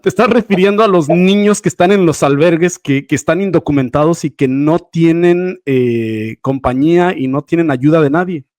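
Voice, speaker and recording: A man's voice: on the high side, normal speed, hardly any pauses, a little mellow, dry recording. A Mexican man hosting a casual podcast interview, talking in a familiar, slangy, buddy-like way.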